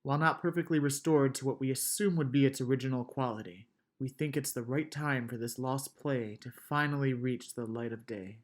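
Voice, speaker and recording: A man's voice: mid-range voice, steady tone, a little fast, few pauses, natural voice. A man giving a formal, scripted introduction as an announcer. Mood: measured.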